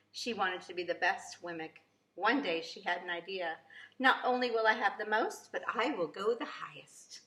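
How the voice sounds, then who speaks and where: A woman reading a children's storybook aloud in an expressive, deliberate storytelling way, with emphasis on key words. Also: medium pitch. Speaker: children's story reader, preschool teacher. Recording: echoey room.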